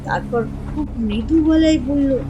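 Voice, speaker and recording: A woman narrating a scripted horror story in a dramatic, measured storytelling manner. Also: high voice, muffled recording, noisy background.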